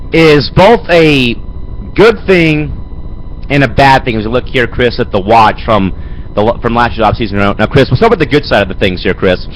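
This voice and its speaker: Raspy, hoarse young man hosting. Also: medium pitch, slight background noise, poor audio. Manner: rambling, fills with "man" and "Chris". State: hoarse with a head cold. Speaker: host. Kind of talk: amateur sports show hosting. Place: home studio.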